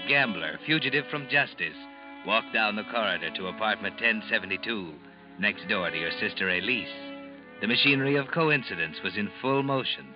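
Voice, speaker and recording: An actor playing an ominous, knowing male narrator in old-time radio suspense, speaking with deliberate dramatic irony. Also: on the low side, close to an everyday voice. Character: omniscient male narrator addressing the heroine, ominous and ironic. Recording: dull old radio recording with music behind.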